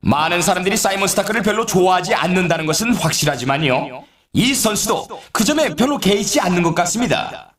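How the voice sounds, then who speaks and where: A showy snowboard race announcer calls the competition with eager, theatrical drama. His voice sits high and moves animatedly up and down. It rings with roomy echo, like scripted arcade game commentary. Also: lively intonation, quick pace, fairly bright tone. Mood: dramatic, eager. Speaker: sports announcer. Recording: roomy echo, distant from mic.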